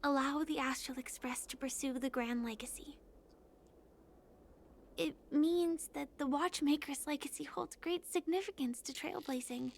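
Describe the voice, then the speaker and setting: An actress playing a soft-spoken, earnest young woman with secrets in a video game, measured and breathy in delivery. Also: high-pitched. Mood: calm, solemn. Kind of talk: scripted video game voice acting. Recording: game music underneath.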